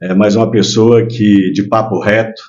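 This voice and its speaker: A man in his sixties from Minas Gerais, a guest telling his life story in relaxed, casual chat with frequent hesitations. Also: fairly low pitch, moderate pace, lo-fi sound. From Minas Gerais, Brazil. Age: 60s. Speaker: guest, local businessman.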